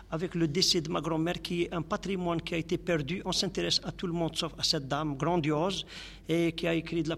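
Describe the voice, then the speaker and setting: A man's voice: a little high, creaky, a bit bright, in a large hall. A man from Algeria, a poet, speaking in a radio interview and reciting his poems in a lyrical, grandiloquent way. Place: radio studio.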